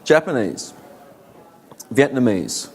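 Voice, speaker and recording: A man's voice: medium pitch, very animated, slow pace, darkish tone, husky. Australian man giving a conference talk. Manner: conversational, many fillers, rhetorical questions. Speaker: conference speaker.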